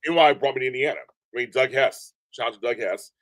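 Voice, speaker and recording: Deep voiced guy talking on a remote call, rambly, repeats stuff, dry no room sound. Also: fast talker, no echo. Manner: rambling, repeats himself, animated. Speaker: podcast guest. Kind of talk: casual interview chat. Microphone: remote call from home.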